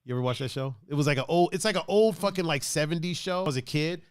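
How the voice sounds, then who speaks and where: A man's voice: fairly high pitch, fast talker, smooth, no echo, studio quality. A man from Brazil hosting a casual chat over a video call, loose and joking with profane banter. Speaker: podcast host.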